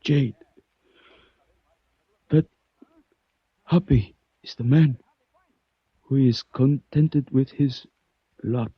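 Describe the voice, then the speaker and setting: Slow, husky, dignified Chinese man. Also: medium pitch, dry recording. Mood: solemn, fading. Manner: halting, formal, solemn. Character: dignified, dying Chinese man, courteous and philosophical. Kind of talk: 1940s radio drama acting. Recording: muffled old radio recording.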